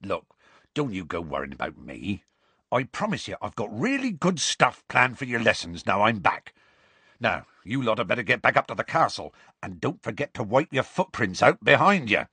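A man voicing a gruff, genial giant for an audiobook, talking in a folksy, breezily reassuring way. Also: lowish voice, big pitch swings, normal speed, bright, forceful tone, dry recording, heavily acted.

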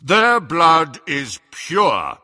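A man's voice: fairly high pitch, sing-song, extremely slow, bright, forceful tone, dry recording, studio quality, voice pushed up, heavily acted. A man voicing a young wizard posing as a powerful official, blustering with authority. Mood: commanding.

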